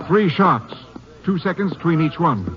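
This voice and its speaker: High-voiced folksy sheriff played by an actor. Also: male voice, lively intonation, normal speed, music in the background, natural voice. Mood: folksy, agreeable. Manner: folksy, calling out orders. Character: hearty, folksy western county sheriff leading a posse. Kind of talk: 1950s radio western acting. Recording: dull old radio recording, busy posse background.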